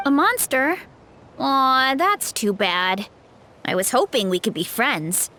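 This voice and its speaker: A woman playing a gentle, naive young warrior in a game, guileless and hesitant in manner. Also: high voice, big pitch swings, slow pace, a little hiss or hum, exaggerated voice. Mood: gentle, curious.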